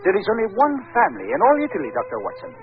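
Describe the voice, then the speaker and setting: An actor playing a suave, villainous violin dealer in a 1940s radio drama, courtly and ingratiating, with hesitant pauses. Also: male voice, high voice, wildly swooping pitch, music in the background. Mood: smooth, ingratiating. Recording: old tinny band-limited radio recording.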